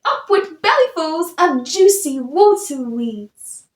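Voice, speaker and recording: A friendly duck-billed dinosaur, voiced by a woman hoots cheerful chants from a picture book. The voice is high and bouncy in pitch, full of delight, in an echoey room. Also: very animated, slow pace, exaggerated voice. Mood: joyful. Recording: roomy echo.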